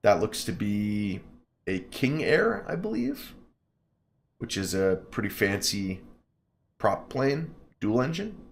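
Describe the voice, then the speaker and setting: A man's voice: on the low side, very animated, takes it slowly, creaky, pauses often, a little hiss or hum. Upbeat man streaming a flight sim. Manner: upbeat, jokey, stumbles over place names. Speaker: streamer playing a flight simulator. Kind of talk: casual live-stream commentary, reading game text aloud.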